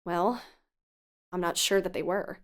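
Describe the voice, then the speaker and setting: A woman's voice: fairly low pitch, measured pace, close to an everyday voice. An actress playing a cautious, analytical female researcher who investigates for a podcast, earnest and articulate, in an audio drama. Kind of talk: audio drama acting, dialogue and narration.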